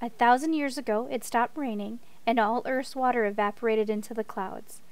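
A woman's voice: a little high, steady tone, moderate pace, a little mellow, no room sound. A woman reading game text and notes aloud for a gameplay video.

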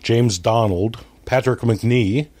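A man talking casually as a podcast guest, explaining things in a halting way and correcting himself as he goes. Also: mid-range voice, a little mellow. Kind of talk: casual conversational movie discussion, retelling a story.

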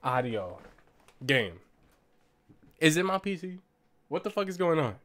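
A young man streaming a horror game from his room, reacting loudly with constant swearing and trash talk. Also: a little high, big pitch swings, a little slow. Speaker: gamer streamer. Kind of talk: live gameplay reactions. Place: small room at home, indoors.

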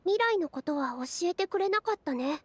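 A woman voicing a gentle, considerate young magical heroine in an anime-style game, careful and polite. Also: very high voice, expressive, normal speed, a little hiss or hum. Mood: gentle, reassuring.

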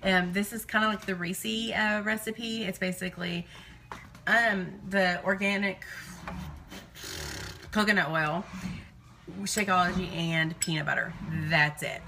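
A woman's voice: medium pitch, fairly even intonation, unhurried, creaky, pauses often, sharp tone. A woman in her thirties chatting casually and digressively to live viewers as she records in her home kitchen. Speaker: live-streaming vlogger and meal-prep host.

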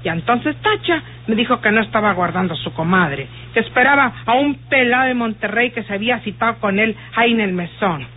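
A woman's voice: a little high, animated, fairly quick, few pauses, husky. An actress playing a jealous, rustic woman confiding suspicions in a folksy, hesitant radio drama manner. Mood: jealous, suspicious. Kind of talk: old radio drama acting. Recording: muffled tinny old radio recording.

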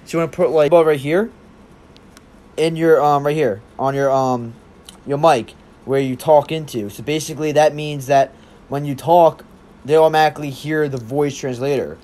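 Higher-pitched young man, rambling. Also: steady tone, darkish tone. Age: young adult. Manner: rambling, halting, repeats himself. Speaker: tech tutorial presenter. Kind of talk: casual app tutorial.

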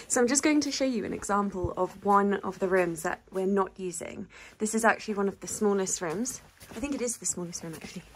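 A woman's voice: fairly high pitch, a little hiss or hum. A young woman chatting to her phone camera in a vlog, gushing and enthusiastic, full of "like" and "um". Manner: gushing, enthusiastic, many likes and ums. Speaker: lifestyle vlogger. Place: indoors in a ski chalet.

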